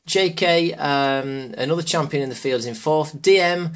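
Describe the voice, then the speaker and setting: A man with a sore throat commentating an online sim race as host, chatty and getting excited during the action. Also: fairly high pitch, normal speed. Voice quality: slightly hoarse.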